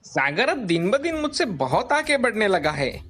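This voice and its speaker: A man's voice: high voice, very animated, normal speed, fairly bright tone, full character voice. A man voicing a greedy, jealous adult pulao seller in a cartoon, scheming aloud and pleading. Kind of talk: cartoon voice acting.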